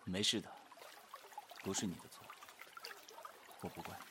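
A man playing a gentle, resigned, melancholy gentleman of ancient China in a period audio drama, speaking in a subdued, brooding way. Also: sits low, steady tone, moderate pace, background noise, close to an everyday voice. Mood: sad, resigned.

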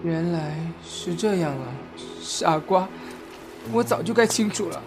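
A young man acting a shy, insecure young man in love in a romantic audio drama, softly and earnestly. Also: high-pitched, a little slow. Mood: hurt, resigned. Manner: soft, hesitant, earnest. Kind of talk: Chinese audio drama acting with inner narration. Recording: music behind.

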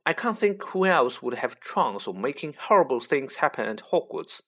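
A woman's voice: very deep voice, sing-song, moderate pace, a bit bright, husky, exaggerated voice. A woman voicing a young boy wizard in a fantasy audiobook, earnest and baffled, with a hesitant, questioning manner. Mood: puzzled. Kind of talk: children's fantasy audiobook character voice.

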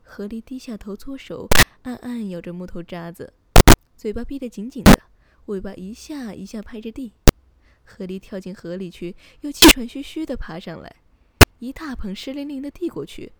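Loud background sound sits behind a woman narrating a children's bedtime story. She is a radio host with a soothing, scripted storytelling delivery, at an ordinary pitch for a woman. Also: expressive, moderate pace, heavy background noise.

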